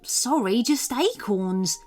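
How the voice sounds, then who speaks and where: A woman voicing a cheerful male squirrel with a bright, chirpy delivery. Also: on the high side, expressive, slow, faint music behind.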